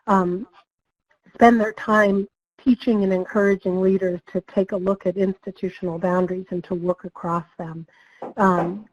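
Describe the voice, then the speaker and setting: A slightly hoarse woman, a project director, welcomes people to a training webinar and gives an overview, friendly and with frequent ums. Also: medium pitch, a little mellow, highs cut off. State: slightly hoarse, losing her voice.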